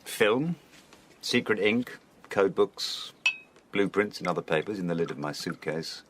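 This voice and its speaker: An actor with an English accent playing a wary gentleman spy posing as a salesman in a radio drama, clipped and formal. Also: low-pitched, animated, a little slow, background noise. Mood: wary, weary.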